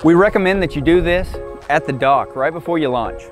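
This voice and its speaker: A man's voice: a little high, fairly quick. A man in his forties giving a casual, plain, step-by-step instructional walkthrough in short phrases as a product demonstrator. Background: music.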